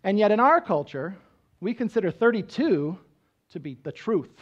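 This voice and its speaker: Pastor guy in his 30s preaching on a church stage, highish voice, keeps saying right?, kinda muffled sound. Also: sing-song, normal speed. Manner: conversational teaching, frequent "right?" check-ins; walks around the stage gesturing. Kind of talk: sermon. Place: church stage with band instruments.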